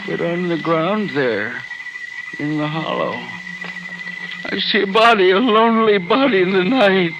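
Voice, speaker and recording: Haunted, gravelly old railway signalman. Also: high voice, lo-fi sound. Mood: shaken, bewildered. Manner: halting, bewildered, repeating words. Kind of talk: old-time radio mystery acting. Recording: noisy vintage radio broadcast.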